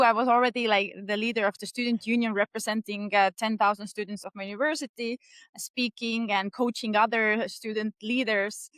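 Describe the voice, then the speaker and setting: A woman's voice: fairly high pitch, fairly bright tone, smooth, no room sound. A woman in her thirties with an Estonian accent, answering interview questions earnestly with many hesitations. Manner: frequent hesitations and restarts, earnest. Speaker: podcast guest.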